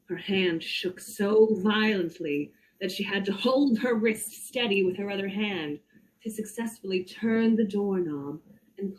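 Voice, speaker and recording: A woman reads a horror story aloud theatrically, drawing out suspense with deliberate pauses. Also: mid-range voice, a little mellow, poor audio. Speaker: performer reading a story aloud. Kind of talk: slow dramatic reading of a horror story.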